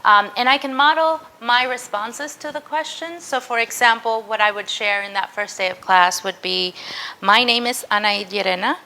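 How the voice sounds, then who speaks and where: Bright-voiced woman teaching a workshop. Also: on the high side, moderate pace, a little hiss or hum, rough recording. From Mexico. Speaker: university professor. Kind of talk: interactive workshop presentation.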